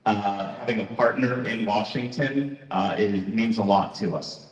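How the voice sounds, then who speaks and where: Man, mayor's welcome, halting with many fillers, lowish voice, far off in a big echoing hall. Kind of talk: public welcome speech. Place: large hall at a town meeting. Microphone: microphone that fails partway.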